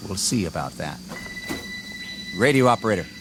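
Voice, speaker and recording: Arrogant, low-voiced army lieutenant. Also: male voice, sing-song, normal speed. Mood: curt, commanding. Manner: commanding, scornful, clipped. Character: arrogant, zealous young army lieutenant eager to kill. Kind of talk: radio drama acting. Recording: radio drama with music underneath.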